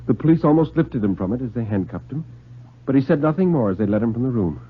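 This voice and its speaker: Expressive male radio storyteller, vintage lo-fi sound. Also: medium pitch, fast talker, husky. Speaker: reader of thriller stories. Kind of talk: dramatic 1950s radio story narration. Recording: lo-fi 1950s radio recording, music behind.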